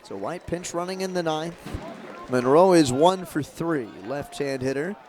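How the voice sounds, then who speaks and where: A man with a slightly high voice works as a radio baseball announcer. He calls the game live in measured, descriptive phrases, often short and clipped. Outdoor stadium noise can be heard behind him. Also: a little mellow. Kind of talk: live baseball play-by-play commentary.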